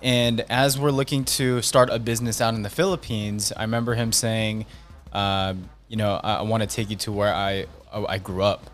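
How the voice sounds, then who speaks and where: A man in casual conversation, steering with curious, slightly skeptical questions. His voice is low-pitched. Faint music sits in the background. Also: steady tone, normal speed. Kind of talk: casual chat.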